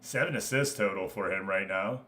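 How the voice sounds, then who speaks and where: Guy streaming a football manager league, bright punchy voice, just chatting casually with the viewers. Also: fairly low pitch, moderate pace. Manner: informal, chatty, addressing viewers by name. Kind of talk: casual live game-stream commentary.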